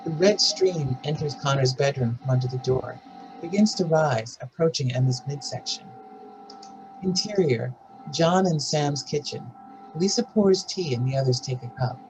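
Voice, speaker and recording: A narrator reading screenplay stage directions aloud in a measured, scripted table-read style. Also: medium pitch, over music, poor audio.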